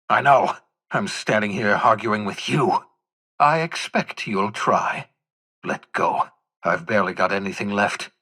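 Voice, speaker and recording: A man playing an eccentric, obsessive older fugitive scientist in a video game, raspy and theatrical, with emphatic, articulate phrasing and bitter self-reproach. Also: lowish voice, bright, forceful tone, full character voice. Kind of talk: dramatic video game dialogue.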